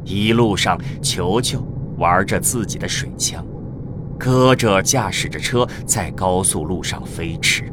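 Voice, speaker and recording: A man's voice: low voice, a little slow. A man narrating a scripted radio drama as a storyteller. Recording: clearly noisy with ambient vehicle sound.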